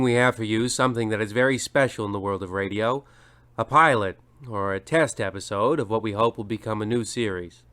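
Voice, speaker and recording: A man introduces a horror radio show in a formal, theatrical announcer style. His voice sits in a middle pitch, and he draws out lines for drama. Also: fairly bright tone.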